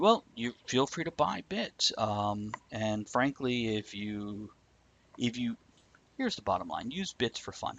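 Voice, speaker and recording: A man's voice: on the low side, fairly bright tone. A man co-hosting a livestream, chatting casually in a joking, bantering way. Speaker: livestream co-host. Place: indoors, live stream.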